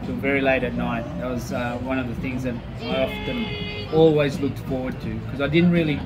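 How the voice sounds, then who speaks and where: A man's voice: mid-range voice, steady tone, normal speed, music in the background, poor audio. A man, a football coach answering interview questions in an easygoing, chatty way. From Chile. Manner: easygoing, chatty, loose and friendly. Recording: loud traffic noise behind. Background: passing vehicles.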